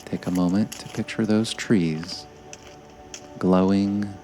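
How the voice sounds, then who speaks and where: Very slow, low-voiced man guiding meditation. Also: steady tone, faint music behind. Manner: slow, gentle, measured delivery with frequent pauses. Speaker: meditation guide. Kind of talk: guided meditation narration, scripted.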